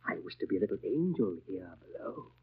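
An actor playing a pompous, sanctimonious school overseer, preaching sternly in 1930s radio drama style. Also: male voice, low-pitched, big pitch swings, fast, husky, full character voice. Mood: shocked, preachy. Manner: pompous, preachy, exaggerated swoops. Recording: muffled vintage 1930s radio recording.

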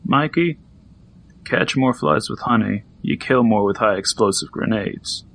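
A man's voice: on the low side, unhurried, creaky, fairly bright tone, slight background noise. A man playing a joking, explosives-loving teammate in an audio drama, with a casual, wisecracking manner.

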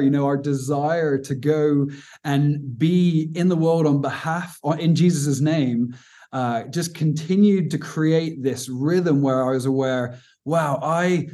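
Earnest English man with a mellow voice. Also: on the high side. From Cornwall, southwest England. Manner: thoughtful, earnest, with frequent fillers and restarts. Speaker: guest speaker on prayer. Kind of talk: informal teaching talk.

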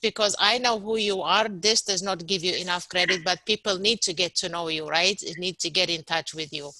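Woman podcast host, upbeat, crisp bright tone, dry recording. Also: lowish voice, fairly even intonation, a little fast, no room sound, rough recording. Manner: upbeat, repeats words for emphasis. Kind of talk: podcast interview.

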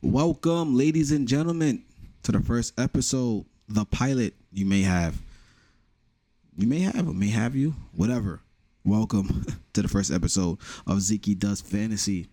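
A man's voice: on the low side, a little slow, warm tone, dry recording. A young man with a New York accent, hosting a casual solo podcast with blunt, profane joking. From the Bronx, New York. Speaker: podcast host.

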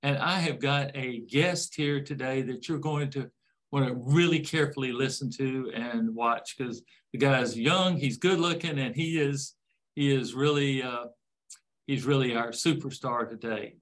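A man's voice: medium pitch, a little slow, a bit bright. Folksy older man hosting an interview. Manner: folksy, rambling, jokes about parenting. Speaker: interview host. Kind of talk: casual interview.

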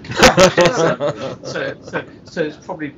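A man's voice: on the high side, steady tone, slow pace. A British man talking as a publishing guest on a panel, conversational, hesitant and careful to qualify what he says. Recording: muffled, noisy live hotel internet-call recording.

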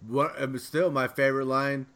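Male podcast co-host, average pitch, informal fan-to-fan chat. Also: level tone, normal speed, a bit bright. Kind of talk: casual pop-culture chat.

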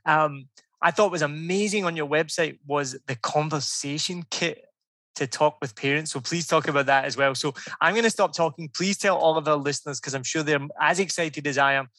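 A Scottish man with a bright, fairly high voice hosts a podcast, rambling eagerly and cutting himself off. The sound is close and dry. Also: moderate pace, no room sound. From Scotland. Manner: enthusiastic, rambling, self-interrupting. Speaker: podcast host. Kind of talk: conversational interview.

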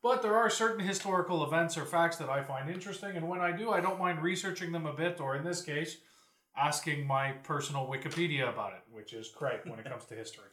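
A man's voice: fairly high pitch, quick pace, fairly bright tone. A Canadian man chatting casually on a podcast as he puts questions to others, informal and loose with his language. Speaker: podcast co-host asking questions. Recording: roomy echo.

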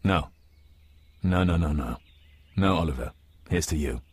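A man's voice: deep voice, expressive, measured pace, husky, no echo, voice pushed down, exaggerated voice. A man voicing a snobbish, insistent upper-class aristocrat in an improvised comic radio play. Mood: earnest, fond. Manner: pompous, insistent, repetitive.

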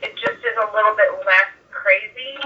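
A woman chatting casually as a podcast guest, gushing and rambling excitably over a tinny remote call. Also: mid-range voice, moderate pace, far from the mic. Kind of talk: casual chat.

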